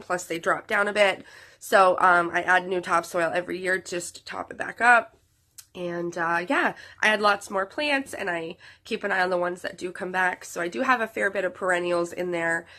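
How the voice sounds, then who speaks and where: A woman in her mid-thirties chats casually to her channel's viewers, her voice nasal and worn from being sick. The tone stays bright, and she rambles along with plenty of fillers and restarts. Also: on the low side. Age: 30s. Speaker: vlogger.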